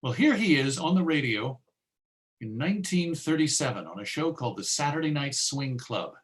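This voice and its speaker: Male American presenter for a history talk, conversational and chatty with a wry streak, mid-pitched voice. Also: expressive, normal speed. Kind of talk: conversational history lecture with clips.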